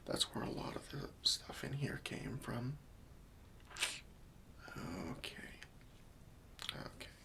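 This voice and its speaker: Guy doing a friendly patient helper in an asmr roleplay, whispery n crackly, real slow coaxing. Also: mid-range voice, fairly even intonation, creaky, full character voice. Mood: patiently questioning. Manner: soft, coaxing, patient, questioning, reassuring. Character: gentle, patient, friendly male helper for hoarders.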